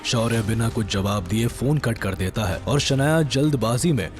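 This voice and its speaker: A man's voice: medium pitch, moderate pace. Male drama narrator over music. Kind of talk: scripted dramatic story narration. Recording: music behind the voice.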